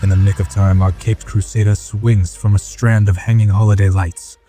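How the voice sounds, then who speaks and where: A man's voice: lowish voice, flat delivery, moderate pace, warm tone, distant mic, soft background music. A man giving dramatic scripted narration as the narrator of an audio drama.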